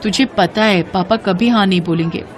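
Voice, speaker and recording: A woman's voice: mid-range voice, normal speed, natural voice. Woman voicing a modest, principled young student. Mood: reluctant, hurt. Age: young adult.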